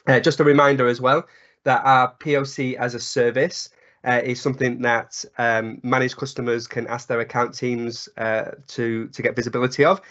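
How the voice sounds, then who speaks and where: A man with a croaky voice from a cold walks through a technical webinar at a mid pitch. He talks in loose spoken phrasing, full of ums and uhs. The call audio sounds slightly dull. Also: flat delivery, moderate pace, fairly bright tone. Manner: many ums and uhs, restarts. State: hoarse from a cold. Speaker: presenter. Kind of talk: webinar presentation.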